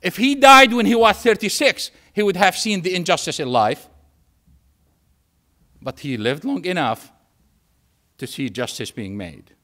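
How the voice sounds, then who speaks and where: Male lecturer, Syrian Arabic accent, native Arabic speaker, slightly high pitch, emphatic storytelling delivery with deliberate pauses. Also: lively intonation, pushed and bright. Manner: emphatic, repeats phrases, pauses for effect. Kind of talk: public lecture with anecdotes and humour.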